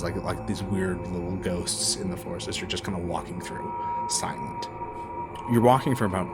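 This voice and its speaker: Male game master, very low voice, loose improvised horror narration with fillers, over clear background music. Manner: halting, casual phrasing with many filler words. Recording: music behind the voice.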